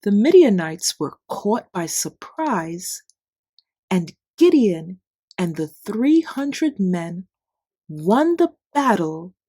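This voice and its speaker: A woman reading a children's bedtime story in simple, deliberate phrases with expressive emphasis. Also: mid-range voice, slightly rough, no room sound. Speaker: children's Bible story narrator. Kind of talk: scripted read-aloud bedtime story narration.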